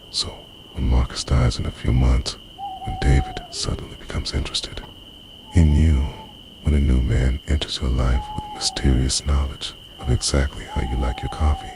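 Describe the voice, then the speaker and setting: A man playing an obsessive, possessive immortal spirit lover in an intimate ASMR monologue, breathy and often whispering, with intense, dramatic phrasing. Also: a bass voice, heavy background noise, exaggerated voice. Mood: ominous, yearning. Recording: loud night ambience of crickets, owls. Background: crickets, insects and hooting owl.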